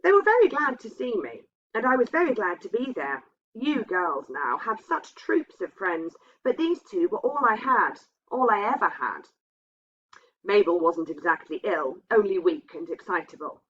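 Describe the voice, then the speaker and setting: A woman's voice: mid-range voice, sing-song, moderate pace, fairly bright tone. A woman voicing a sensible housekeeper who recounts her past in a reflective, confiding way. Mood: wistful. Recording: dull, muffled lo-fi sound.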